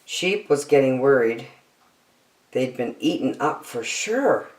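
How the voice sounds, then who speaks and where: A woman with a lowish voice reads a children's story aloud. She is theatrical and unhurried, with very lively intonation. The sound is roomy and echoey. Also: distant mic, slight background noise. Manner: theatrical, deliberate phrasing. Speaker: storytime reader. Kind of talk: children's picture book read-aloud.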